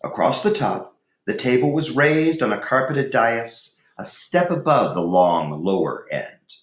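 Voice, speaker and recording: A man teaching and reading aloud to students in a deliberate, instructive way, with pauses. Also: medium pitch, expressive. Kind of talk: lesson talk and chapter read-aloud. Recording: echoey, reverberant room.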